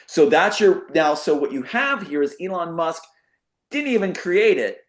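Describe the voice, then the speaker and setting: A man's voice: on the high side, very animated, normal speed. A man speaking as a talk show guest in a casual, rambling monologue, emphatic and given to acting out quotes as he explains his theories.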